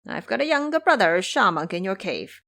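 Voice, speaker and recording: A woman's voice: mid-range voice, big pitch swings, normal speed, bright, forceful tone. A woman voicing a bossy, brash male monkey hero in an audio drama, with a commanding manner. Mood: cocky.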